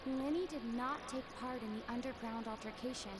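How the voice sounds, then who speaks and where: A woman playing an earnest young defence advocate in a video game, making each point deliberately and assertively. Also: fairly high pitch, heavy background noise, close to an everyday voice. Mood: firm.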